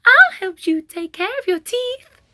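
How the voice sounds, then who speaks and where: A woman voicing a tiny, brave and helpful storybook mouse in a squeaky, eager way. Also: sing-song, a little slow, pushed and bright, no echo, studio quality, full character voice. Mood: reassuring.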